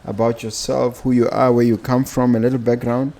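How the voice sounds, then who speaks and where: A man with a Botswana accent hosting a casual podcast, speaking haltingly with pauses between phrases. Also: mid-range voice, little pitch movement, creaky, mellow. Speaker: podcast host.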